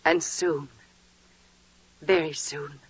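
A woman's voice: sits low, steady tone, very slow, breathy edge, heavily acted. An actress playing a devout, emotional wife and mother, heartfelt and theatrical, in a 1940s radio drama. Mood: wistful, tender. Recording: dull vintage radio recording.

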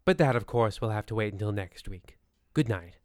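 Smooth, dry-sounding male radio narrator. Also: lowish voice, animated, normal speed, no echo. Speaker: narrator, show host. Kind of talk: mock old-time radio narration.

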